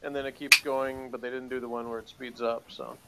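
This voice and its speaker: Guy chatting thru a review, regular mid pitch, kinda halting and conversational. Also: flat delivery, very noisy. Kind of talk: casual review chat.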